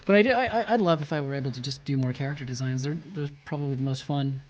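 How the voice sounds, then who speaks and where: A man's voice: mid-range voice, racing, muffled recording. A man giving casual, joking commentary as a show's creator in a group chat.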